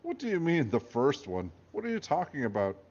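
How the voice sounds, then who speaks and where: A cheeky, nerdy teenage boy as a man plays him, eager and joking. His pitch is lively, and the recording is dull and lo-fi. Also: a little fast.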